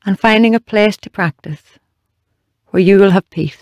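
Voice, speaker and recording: A meditation guide leading a body scan in a slow, measured, gently instructing way with long pauses. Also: medium pitch, dry recording, dull audio.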